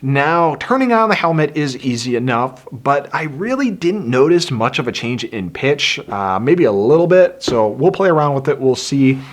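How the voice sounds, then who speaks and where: A man's voice: mid-range voice, very animated, moderate pace, few pauses. Easygoing man hosting a casual review. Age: adult. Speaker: video host reviewing a toy helmet. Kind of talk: casual product review to camera.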